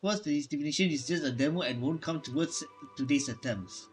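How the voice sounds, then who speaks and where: A man reading game dialogue aloud during a playthrough, halting and stumbling over words as he goes. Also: a little high, soft background music. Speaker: gaming streamer.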